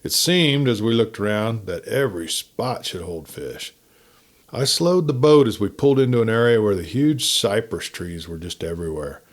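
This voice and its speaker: A man's voice: on the low side, animated, normal speed. Man narrating a folksy campfire tale. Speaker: storyteller reading a written story.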